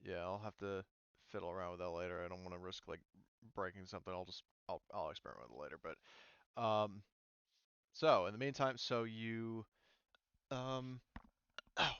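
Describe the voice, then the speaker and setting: A man with a low, very clear voice talks casually as a tabletop game master. He stops and restarts as he thinks aloud. The sound is close and dry, slightly dulled by the microphone. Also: fairly bright tone, no echo, muffled recording. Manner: halting, thinks aloud mid-sentence.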